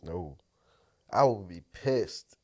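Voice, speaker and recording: Congested-sounding man with very lively pitch. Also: slow pace. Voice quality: congested, nasal. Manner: slangy, repeats himself for emphasis. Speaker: podcast co-host. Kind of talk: casual banter.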